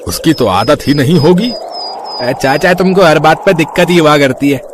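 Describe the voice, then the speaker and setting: A man's voice: a little high, animated, moderate pace, noisy background, natural voice. A man playing a chatty, gossiping village man in acted story dialogue. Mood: doubtful, then teasing.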